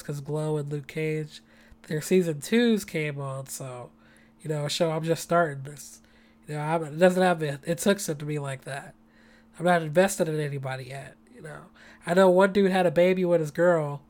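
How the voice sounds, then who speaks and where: A podcast host with a hoarse voice, talking in a rambling, enthusiastic, slangy way in a casual solo show from home. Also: medium pitch. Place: home apartment.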